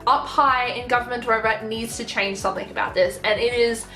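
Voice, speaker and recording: A young woman talks frankly and casually to camera, filling her speech with "like" and restarts. Her voice sits a little high. The room rings with echo, and soft music plays underneath. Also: fairly even intonation, fast talker. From Australia. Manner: chatty, frank, with many fillers. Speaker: vlogger.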